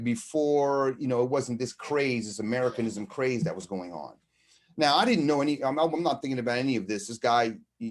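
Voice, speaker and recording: A middle-aged man telling a personal story in a casual monologue, halting and rambling, with slangy conversational asides. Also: mid-range voice, a little mellow. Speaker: storyteller explaining a term from experience.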